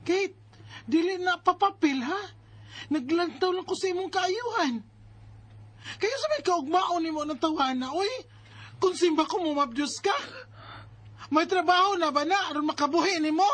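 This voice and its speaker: A woman's voice: very high voice, normal speed, pauses often, a bit bright. A woman playing a worried, protective older sister with a Filipino accent in a radio drama, emphatic and exasperated. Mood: scolding.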